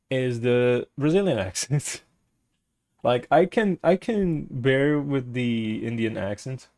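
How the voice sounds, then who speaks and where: A man's voice: medium pitch, darkish tone. A young man, a native Portuguese speaker with a Portuguese accent, chatting casually with stream viewers, rambling, searching for words and laughing often.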